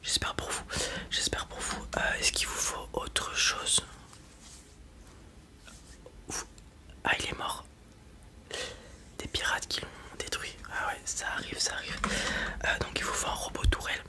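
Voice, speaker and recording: A man playing a blunt, casual space robot merchant in a whispered ASMR roleplay, muttering hesitantly in a breathy voice. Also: unhurried, long pauses, pushed and bright. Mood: businesslike, wary. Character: gruff, casual male space robot merchant, wary but kind.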